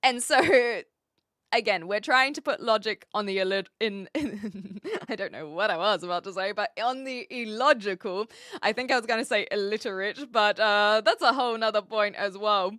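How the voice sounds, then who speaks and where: A woman's voice: mid-range voice, normal speed, a bit bright, no echo, studio quality. A woman from New Zealand streaming live and chatting casually with viewers, rambling a little with restarts and fillers.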